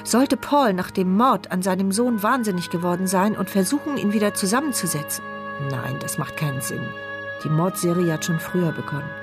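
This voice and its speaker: An actress playing an anxious, sharp-minded woman in a radio play, in an intimate, questioning inner monologue. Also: on the low side, animated, a little fast, music in the background, natural voice. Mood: brooding.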